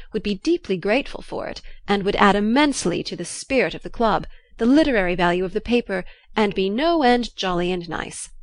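A woman reading a spirited tomboy girl who plays a gentleman orator in a storybook, earnest and mock-parliamentary. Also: mid-range voice, no room sound, natural voice. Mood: mock-formal, pleading.

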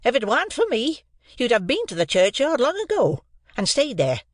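A man voicing a harsh, bullying older housewife who scolds loudly, in a storybook reading. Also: extremely high pitch, huge pitch swings, moderate pace, no room sound, studio quality, voice pushed up, full character voice. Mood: scolding. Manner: loud, scolding, stressed words.

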